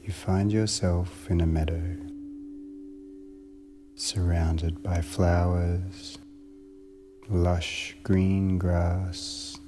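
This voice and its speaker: A man narrating a guided meditation in a measured, soothing manner with long pauses between short phrases. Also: low-pitched.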